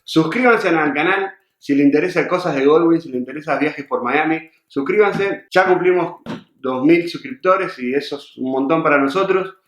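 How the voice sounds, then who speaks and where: A man's voice: on the high side, normal speed, roomy sound. A man hosting a casual how-to vlog, explaining things in simple terms and repeating words for emphasis. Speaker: YouTube host of a motorcycle channel.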